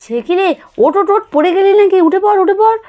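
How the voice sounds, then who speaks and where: A woman doing a high, lively elder-sister girl. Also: quick pace. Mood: urgent, worried. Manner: urgent, repeats phrases. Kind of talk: children's doll-story cartoon voice acting.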